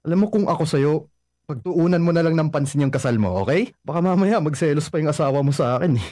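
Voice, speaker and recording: An actor playing a lovesick young ex-boyfriend who dodges questions and laughs things off in a radio drama. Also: male voice, a little high, quick pace, dark tone, no room sound, clean studio sound, close to an everyday voice. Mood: evasive. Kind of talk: Filipino radio drama acting.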